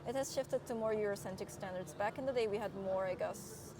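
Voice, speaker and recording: A candid woman in her twenties from Turkey chats openly in an interview, leaning on "like" as she thinks aloud. Her voice sits at a middling pitch. Clear background noise runs under the recording. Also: a little fast. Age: 20s. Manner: candid, frequent "like" fillers. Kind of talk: casual interview chat. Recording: noisy background.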